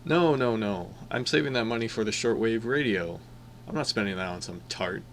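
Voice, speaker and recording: Male actor as earnest, pedantic young jazz nerd in radio play; lowish voice, dry delivery, faint hiss. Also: moderate pace, close to an everyday voice. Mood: dry, bickering.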